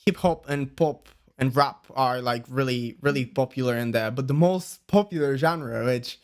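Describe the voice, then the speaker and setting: A man's voice: mid-range voice, normal speed, a little mellow. A man in his early twenties from Bulgaria with a Bulgarian accent, talking casually and chattily as a podcast guest, explaining things in a rambling way. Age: early 20s. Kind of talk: casual conversational interview.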